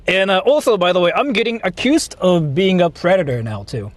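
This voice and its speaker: Man, casual livestream host, very animated intonation, rambling and repetitive, profane, dry close sound. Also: high-pitched, fairly quick, dry recording. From Japan. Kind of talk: casual livestream chat with viewers.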